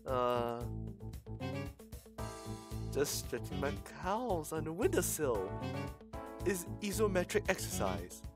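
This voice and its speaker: A man's voice: on the high side, big pitch swings, slow, pauses often, music in the background, pitched above the performer's own voice. A man voicing a flustered female school principal in a visual novel, a nervous bad liar who stammers out hasty excuses.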